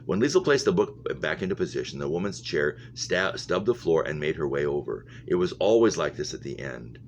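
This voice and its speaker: A man's voice: very deep voice, animated, a little fast. A man reading a novel aloud, stumbling over words now and then and going back over phrases. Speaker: reader, English teacher. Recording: muffled lo-fi audio with humming noise.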